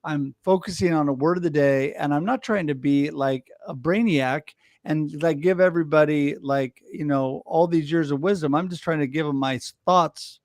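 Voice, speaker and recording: A man hosting a livestream, chatting casually and telling stories with enthusiasm and quick laughs. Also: on the high side, no echo, clean studio sound. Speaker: livestream host. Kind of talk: casual chat.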